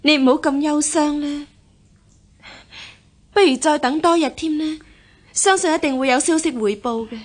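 High-voiced dutiful Cantonese maiden , played by an actress. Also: female voice, moderate pace, long pauses, natural voice. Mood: consoling. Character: devoted young lady, dutiful gentle unmarried daughter. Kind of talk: radio drama acting.

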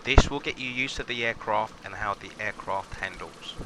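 A man with a low voice acts as flight instructor and pilot in a simulator lesson. He makes radio calls and explains the flying. A clear engine noise runs behind his voice. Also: moderate pace. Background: aircraft engine drone from simulator.

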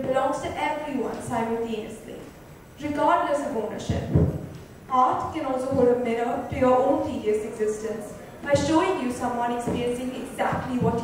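A woman's voice: on the high side, darkish tone. A woman reading literary narration aloud in an indoor lounge. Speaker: reader in a dramatic book reading. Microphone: far microphone. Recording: distant mic, very echoey room, faint noise.

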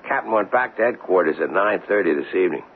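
An actor playing a stubborn American city police sergeant in a 1970s radio drama. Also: male voice, deep voice, animated, quick pace. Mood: uneasy. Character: stubborn male city police sergeant following his hunches. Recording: muffled, hissy old radio broadcast.

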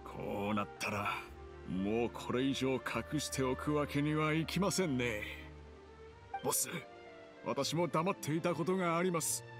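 A man's voice: medium pitch, lightly acted. A man voicing a devoted, courteous retainer in a Japanese anime game, speaking politely and formally, with music behind him. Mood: solemn. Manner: polite, formal, measured phrasing. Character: courteous, devoted gentleman retainer to his boss.

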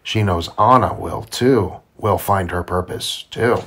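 A man reading a children's storybook aloud to his child in simple, deliberate phrasing. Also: low-pitched, a bit bright, slightly rough. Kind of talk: children's read-aloud narration.

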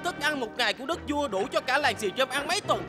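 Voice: high voice, expressive, fast. A performer voicing a small, gluttonous elf cook in a dubbed children's cartoon, proud, earnest and exclamatory. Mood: overwhelmed. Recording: hall reverb, music behind.

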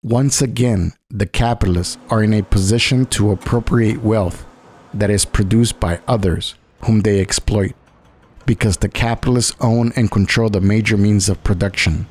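Man, lowish voice, scripted political narrator, didactic, measured phrasing. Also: steady tone, a little mellow, slight background noise.

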